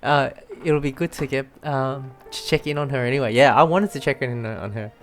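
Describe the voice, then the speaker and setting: A woman with a low-pitched voice chats informally over gameplay. She is giggly and casual in the way of a streamer, and soft game music sits behind her. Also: moderate pace.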